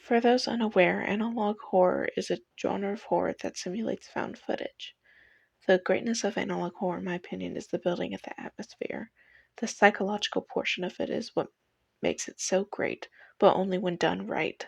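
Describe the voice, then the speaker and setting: A woman's voice: mid-range voice, steady tone, normal speed, gravelly, breathy edge, no room sound. A young adult woman narrating a scripted personal video essay.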